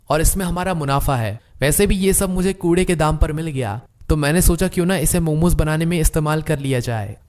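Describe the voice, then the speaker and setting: A man playing a greedy, boastful young son with a glib, persuasive manner in an animated moral story. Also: fairly high pitch, natural voice.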